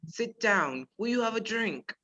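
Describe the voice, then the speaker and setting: A performer playing a polite, measured male professor who is secretly a menacing spy chief in a radio play. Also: medium pitch, lively intonation, sharp tone. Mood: courteous. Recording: dull lo-fi virtual recording.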